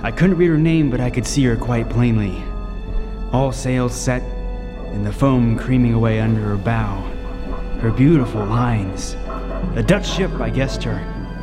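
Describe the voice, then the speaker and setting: A man's voice: medium pitch, natural voice. Radio thriller lead: male actor as lighthouse keeper narrating his own ordeal, mid-range, vivid, suspenseful, music underneath. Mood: tense anticipation. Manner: vivid, suspenseful first-person storytelling. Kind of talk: 1940s-style radio drama narration and acting. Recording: long reverb with music underneath.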